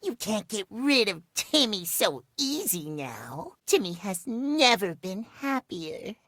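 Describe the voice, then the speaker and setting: Cutesy game creature: high-pitched, theatrical, gushing, with big swoops in pitch. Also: male voice, big pitch swings, takes it slowly, gravelly, pushed and bright, no echo, studio quality, full character voice. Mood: delighted. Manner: refers to himself in third person, gushing, theatrical. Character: cutesy, excitable, dramatic household-object creature speaking of himself. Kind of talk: animated video game character acting.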